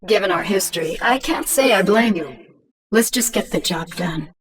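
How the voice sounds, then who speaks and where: Woman acting, cunning alien queen, commanding, measured and persuasive, video game voice, slightly distant mic. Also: medium pitch, lively intonation, natural voice. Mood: cool confidence. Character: cunning, commanding infested alien queen, former mindless killer.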